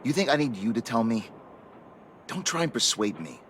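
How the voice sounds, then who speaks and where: A man's voice: medium pitch, very animated, normal speed, a little hiss or hum, close to an everyday voice. An actor playing a gloomy, resigned male patient in a video game, with a weary, defeatist manner. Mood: gloomy, defensive.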